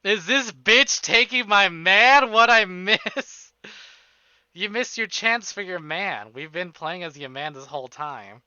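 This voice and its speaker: A man hosting a casual livestream, chatting in an excitable, playful, profane way. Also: high voice, takes it slowly, smooth, no echo. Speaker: livestream host. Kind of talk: casual streaming chat between visual novel readings. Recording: dull lo-fi stream audio under music. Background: prominent music.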